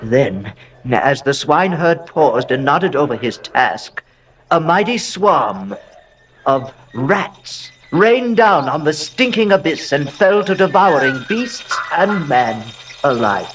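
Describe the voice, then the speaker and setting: An actor playing a haunted, aristocratic heir who recounts Gothic horror in a theatrical, deliberate way with dramatic pauses. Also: male voice, fairly high pitch, very animated, a bit bright, far from the mic, a little hiss or hum, heavily acted. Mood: uneasy, dreading. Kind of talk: dramatic horror narration, audio drama monologue.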